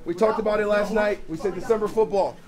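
A man's voice: high-pitched, fairly even intonation, fast, background noise. A man, a coach, giving a blunt, rousing, profane talk to players in a crowded locker room.

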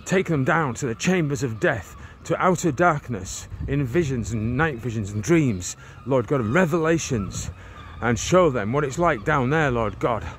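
A man in his forties from England preaches and prays out loud as he walks along a street, his phone held at arm's length. He speaks at an ordinary pitch, fervent and rambling, repeating and restarting his words. Street traffic sounds clearly behind him. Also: expressive, moderate pace. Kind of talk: walk-and-talk prayer and preaching vlog. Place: residential street outdoors, walking. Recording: outdoor street noise with passing traffic.

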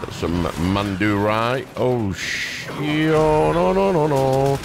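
A man giving casual let's play commentary, talking to himself with excitable exclamations and repeated words. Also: mid-range voice, slow pace, gravelly, noisy background. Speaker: video game streamer. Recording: game music and gunfire behind. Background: game music and gunfire.

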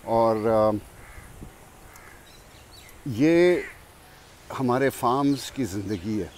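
An older man with a Pakistani accent, speaking in an unhurried, reflective way as he gives an informal explanatory tour of his farm outdoors. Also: mid-range voice, lively intonation, darkish tone. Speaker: farmer and vlog host. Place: outdoors beside a farm well. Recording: clear outdoor ambient noise, birdsong. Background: birds and outdoor farm ambience.

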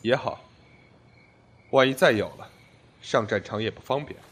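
An actor playing a cold, terse, domineering sect lord in a Chinese period audio drama. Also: male voice, mid-range voice, moderate pace, a bit bright, close to an everyday voice. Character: cold, commanding male sect lord of ancient China.

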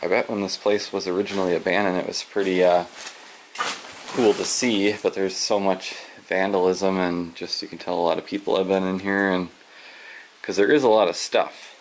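A man casually narrating as he explores an abandoned farmhouse, musing quietly and sometimes dropping to a whisper, recorded on a handheld camera mic indoors. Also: steady tone, moderate pace, a little hiss or hum, rough recording. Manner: musing, low-key, trailing off into soft whispers.

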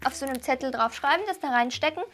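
A woman's voice: sits high, quick pace, fairly bright tone. A young adult woman in casual, playful chat, blunt and bantering. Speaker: YouTube co-host. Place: small bedroom set, indoors. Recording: clear background noise.

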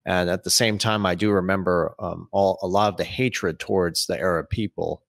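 A man's voice: sits low, fairly even intonation, moderate pace, gravelly, dry recording, clean studio sound. A man in his thirties from Saudi Arabia, hosting an interview over a video call with long, opinionated questions. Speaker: interviewer and host. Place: small home room, indoors.

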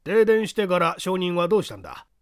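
A man's voice: sits high, sing-song, quick pace, clean tone, no echo, clean studio sound. A man voicing a stern male judge who interrogates witnesses in a dramatic audiobook reading. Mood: stern, probing. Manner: commanding, interrogating.

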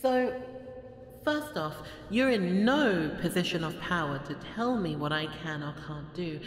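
A woman's voice: lowish voice, lively intonation, a little slow. A woman playing a haughty, bored, playful goddess of destruction in an ASMR audio roleplay, with an imperious, mocking, theatrical delivery. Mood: threatening, imperious. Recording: echoey hall reverb, faint background noise.

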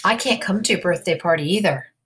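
A woman voicing a gentle, reassuring mother rabbit in a storybook, explaining things patiently and tenderly. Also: fairly low pitch, fairly quick, background noise, lightly acted.

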